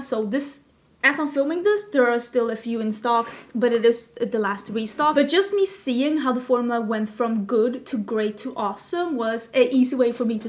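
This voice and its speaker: A woman in her thirties from Sweden with a Swedish accent, chatting enthusiastically to camera in a makeup review and wandering into little asides. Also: fairly high pitch. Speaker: beauty youtuber. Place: home filming room with plants, indoors.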